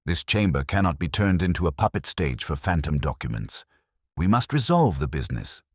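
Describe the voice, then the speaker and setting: A man's voice: rumbling low, sing-song, moderate pace, husky, dry recording, studio quality, exaggerated voice. A man playing a flustered, officious town mayor in a mystery story, speaking formally and pompously. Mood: insistent.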